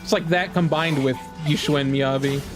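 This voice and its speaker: A man giving casual, excitable, rambling reaction commentary on a video game. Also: on the high side, moderate pace. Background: music from the game trailer.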